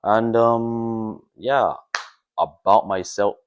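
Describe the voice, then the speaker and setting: A man's voice: fairly low pitch, extremely slow, smooth. A young man, a podcast guest chatting casually in a hesitant, self-effacing way. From Nigeria. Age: young adult. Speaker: podcast guest, university student. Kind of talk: casual chat.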